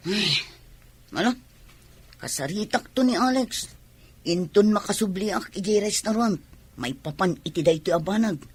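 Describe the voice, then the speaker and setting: A woman's voice: mid-range voice, lively intonation, a little slow, pauses often, breathy edge. A woman with an Ilocano accent playing a scheming young daughter in a radio drama. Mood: pleading, scheming. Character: scheming young woman talking with her father and suitor.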